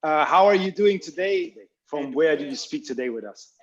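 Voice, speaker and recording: A man hosting a podcast, asking questions in a halting way and saying "okay" often. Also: fairly high pitch, animated. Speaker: podcast host. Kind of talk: casual interview talk. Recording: dull, lo-fi sound.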